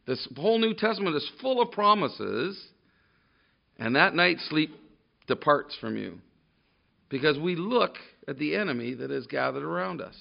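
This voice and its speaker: A man preaching a sermon to a congregation, loud and emphatic, often restarting his sentences. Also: fairly high pitch, sing-song, normal speed, gravelly. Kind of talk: sermon with scripture reading. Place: church room with a congregation. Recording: dull lo-fi sermon recording.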